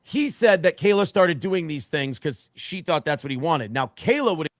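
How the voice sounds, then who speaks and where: A man's voice: on the high side, lively intonation, normal speed, dry recording. Man recounting a story, dry sound. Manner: recounting a story firsthand, repeating and correcting himself. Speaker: streamer in a played clip. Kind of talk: casual stream talk.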